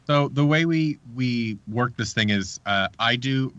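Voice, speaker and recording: A man speaking as a sound designer guest in a casual interview, explaining his work haltingly. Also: medium pitch, a bit bright, dry recording, highs cut off.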